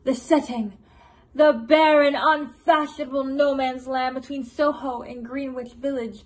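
An anxious, restless writer nearing thirty played by a young woman with a high voice, confiding in a theatrical monologue. The delivery is deliberate and dramatic, with slow pacing. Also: steady tone. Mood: tense, self-mocking.

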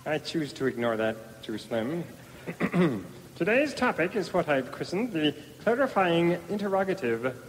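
A man's voice: a little high, big pitch swings, measured pace, long reverb tail, a little hiss or hum, rough recording. An actor playing a fussy, pompous male language pedant with precise, lofty diction, in a radio comedy sketch. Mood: haughty. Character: fussy, pompous male word pedant, prim and easily offended. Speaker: Mister Literal, pedantic language expert. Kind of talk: 1990s radio comedy acting.

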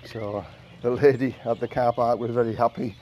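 Older Englishman chatting in a flat monotone. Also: mid-range voice, moderate pace, slight background noise. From England. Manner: rambling, chatty storytelling with fillers. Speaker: vlogger. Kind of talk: casual chat while washing a car. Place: outdoor carport of a house. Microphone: camera mic from a few meters away. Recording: outdoor camera audio with birdsong. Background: birds and rural outdoor ambience.

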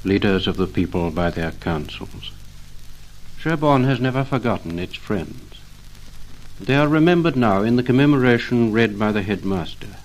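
A man's voice: lowish voice, expressive, moderate pace, poor audio. A man with a British received pronunciation accent gives formal, lyrical 1950s documentary narration in a solemn, reverent manner. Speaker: narrator of a commemorative record. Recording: 1950 LP recording, music behind voice.

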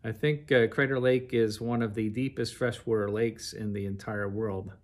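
A man's voice: fairly low pitch, steady tone, normal speed. Middle-aged man narrating a travelogue. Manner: recounts in an orderly, chronological, detailed way. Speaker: narrator of a travel slide show.

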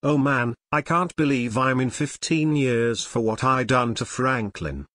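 A text-to-speech man voicing a young man in jail who pleads with his father in an animated fan video. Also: medium pitch, unhurried, dry recording, clean studio sound. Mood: pleading. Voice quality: flat synthetic text-to-speech voice. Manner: whiny, pleading.

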